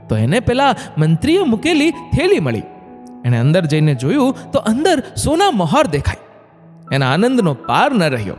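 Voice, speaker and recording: A man narrating a scripted moral story in an expressive, dramatic way. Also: high voice. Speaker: narrator of motivational stories. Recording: long hall reverb, music bed. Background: background music.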